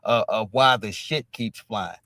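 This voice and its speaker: A man's voice: fairly low pitch, measured pace, bright, forceful tone, no room sound, studio quality. A man hosting a stream, ranting in emphatic commentary and repeating "all right?" after nearly every phrase. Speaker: streamer host.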